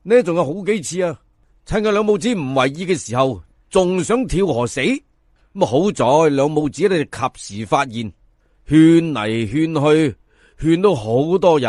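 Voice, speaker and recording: Male Cantonese storyteller for folk tales: highly animated, slightly high-pitched, colloquial and expressive, dry recording. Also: dry recording. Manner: expressive, conversational asides to listeners. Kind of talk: colloquial dramatic folk-tale narration.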